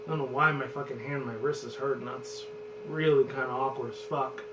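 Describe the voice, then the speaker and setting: A man in his twenties with a hoarse voice, rambling and cursing loosely as a vlog host talking about movies. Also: medium pitch, fairly even intonation, a little fast. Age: 20s. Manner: loose and profane, rambles and restarts. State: hoarse from screaming. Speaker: channel host. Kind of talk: rambling casual movie chat. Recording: roomy, distant, noisy with hum.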